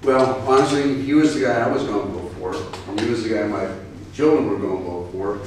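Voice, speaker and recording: A man's voice: fairly low pitch, a little fast, a little hiss or hum. Distant middle-aged man in an echoey hall. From United States. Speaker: political consultant. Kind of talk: panel discussion answering questions. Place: university lecture hall. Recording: echoey lecture hall from far off.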